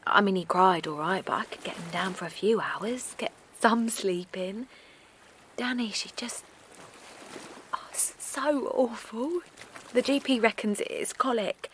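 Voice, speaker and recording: Woman acting tired young mum, anxious, apologetic, half-whispered, middle pitch, faint background hum. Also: a little slow, a bit bright, lightly acted. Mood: anxious weary. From Essex. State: worn out. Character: exhausted young mother of a colicky newborn. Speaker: new mother. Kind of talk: naturalistic audio drama acting. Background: faint outdoor seaside ambience.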